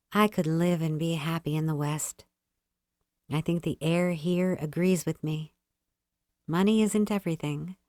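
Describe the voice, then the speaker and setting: A woman's voice: lowish voice, darkish tone, no echo, clean studio sound, natural voice. Woman playing a poised, genteel young society lady. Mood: wistful. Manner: deliberate, genteel.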